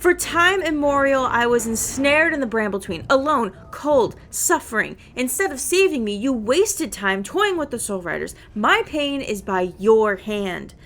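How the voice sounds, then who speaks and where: A woman's voice: a little high, very animated, unhurried, hardly any pauses, fairly bright tone, faint music behind, heavily acted. A woman voicing a bitter, vengeful ancient spirit in a little girl's form, accusing and dramatic, for a video game. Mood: bitter, furious. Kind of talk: video game character dialogue.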